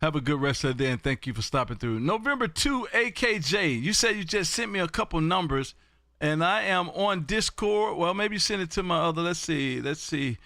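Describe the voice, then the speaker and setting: Bright, high-pitched American ham radio man. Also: moderate pace, no room sound. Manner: animated, folksy, booming delivery. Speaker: amateur radio host. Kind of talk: live ham radio contact chat.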